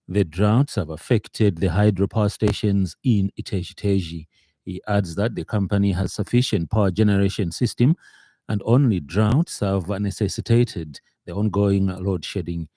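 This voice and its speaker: A Zambian man reads the radio news in a low, very mellow voice. The delivery is a scripted bulletin, recorded close and dry in a studio. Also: dry recording. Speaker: radio newsreader.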